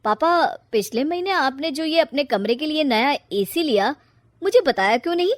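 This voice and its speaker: A woman's voice: high-pitched, animated, normal speed, dry recording. A self-righteous young man from a cartoon family tale, voiced by a woman. He talks sternly and accusingly, like a grown son laying down the law, in a dry recording. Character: self-righteous young adult son, scolding his parents. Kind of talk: cartoon moral-story character voice.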